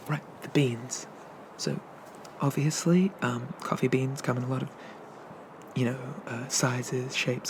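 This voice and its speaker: A man voicing a shy, friendly sea dragon barista in a soft-spoken ASMR roleplay, rambling and hesitant as he eagerly explains things. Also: medium pitch, a little hiss or hum, lightly acted.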